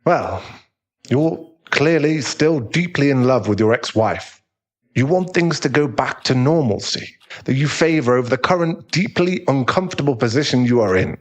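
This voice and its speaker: A professional therapist acted by a man in an audio roleplay. He is calm and frank, choosing formal, tactful words in a measured, mid-range male voice. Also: medium pitch, close to an everyday voice. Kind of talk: dramatic audio roleplay acting.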